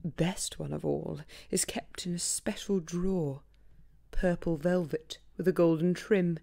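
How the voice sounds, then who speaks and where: A woman's voice: lowish voice, steady tone, measured pace, creaky, dark tone. A woman voicing a vain, fussy male robin who complains about his looks, in a storybook poem.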